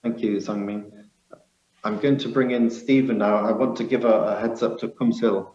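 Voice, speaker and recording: A man moderating a panel discussion, passing the floor between speakers in a measured way. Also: on the low side, steady tone, a little mellow, echoey room, dull audio.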